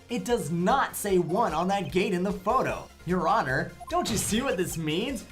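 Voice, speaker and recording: A woman acts out a cocky young defense attorney in a comedic courtroom game. The delivery is sarcastic, showy and very lively, with faint music in the background. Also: lowish voice. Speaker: voice actor in a fan dub.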